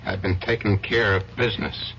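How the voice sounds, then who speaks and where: Sharp-voiced, menacing jealous husband. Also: male voice, low-pitched, fairly even intonation, moderate pace, gravelly. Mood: bitter, accusing. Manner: sneering, taunting. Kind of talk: 1970s radio drama acting. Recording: dull, noisy old radio broadcast.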